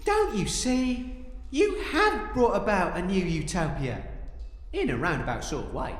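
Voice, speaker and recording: An excitable hero acted by a man, whose pitch leaps around wildly. The delivery is earnest, florid and melodramatic, that of a kind-hearted comic innocent in an audio drama, echoing in a large hall. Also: measured pace. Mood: earnest, soothing.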